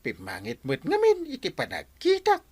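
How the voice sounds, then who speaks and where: An actor playing a cranky, clueless older village captain in a comic radio drama, sputtering and hesitant. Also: male voice, high-pitched, huge pitch swings, normal speed, slightly rough, heavily acted. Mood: hungry, cranky. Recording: radio drama with music behind.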